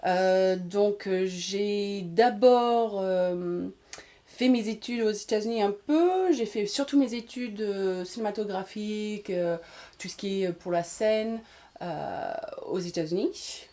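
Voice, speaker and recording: A woman's voice: mid-range voice, slow, warm tone. A woman in her forties from Washington State, an English teacher and actress, telling her story in a casual interview with many hesitations. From Washington State, USA. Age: 40s.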